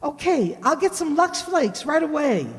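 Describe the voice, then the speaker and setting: Woman acting as young girl, high pitch, lively swoops, eager, earnest, affectionate, old radio commercial. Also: normal speed, in a large hall. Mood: eager, confiding.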